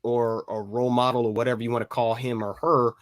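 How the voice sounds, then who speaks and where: Male podcast co-host, medium pitch, earnest and deliberate opinion-giver, dry close sound. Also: flat delivery, dry recording. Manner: earnest, deliberate, uses formal phrasing amid slang. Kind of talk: opinionated panel discussion.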